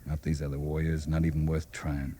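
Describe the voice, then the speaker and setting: A man playing a calm, authoritative American cavalry captain, measured and terse, in an old-time radio drama. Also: very deep voice, slight background noise. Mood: grave. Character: steady, authoritative frontier cavalry captain, calm under threat.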